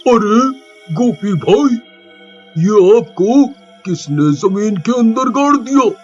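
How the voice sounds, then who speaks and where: A man playing an excitable, alarmed village man in a cartoon horror story. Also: sits high, slow pace, dull audio, soft background music, exaggerated voice. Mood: worried. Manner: alarmed, exclaiming. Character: panicky, excitable village man scared of ghosts.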